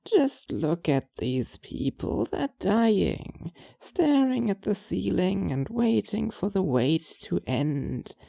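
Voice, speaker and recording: A woman voicing a frail, gentle dying old woman in an audio drama, speaking haltingly with weary resignation. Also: mid-range voice, sing-song, creaky, no room sound, exaggerated voice. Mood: weary, kindly.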